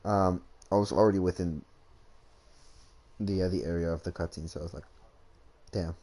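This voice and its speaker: A man's voice: low voice, steady tone, moderate pace, warm tone. A young man giving casual, rambling, slangy commentary as he plays a game. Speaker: gaming streamer.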